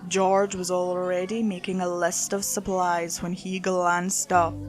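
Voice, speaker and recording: Lady reading a creepy story, unhurried, faint music under her. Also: fairly low pitch, little pitch movement. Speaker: narrator. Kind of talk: scripted horror story narration.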